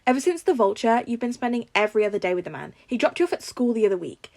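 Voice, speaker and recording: A woman's voice: fairly high pitch, sing-song, very fast, creaky, darkish tone. A woman voicing a frank, supportive teenage boy with a casual, insistent manner in a story reading. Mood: teasing.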